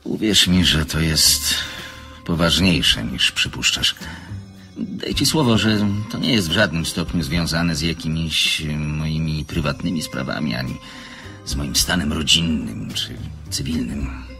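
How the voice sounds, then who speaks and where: A man's voice: rumbling low, unhurried, vocal fry, sharp tone, soft background music, heavily acted. An actor playing a secretive, courteous man in a radio play, with a fine announcer's voice and an earnest, formal, hesitant manner. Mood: earnest, evasive. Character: secretive man, courteous, evasive, apologetic, guarded about his work.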